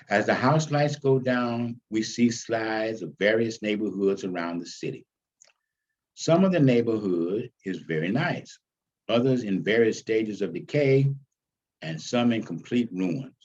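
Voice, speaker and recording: A man reading his own stage script aloud as its author, with deliberate, dramatic phrasing. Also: lowish voice, a little mellow, breathy edge, muffled recording.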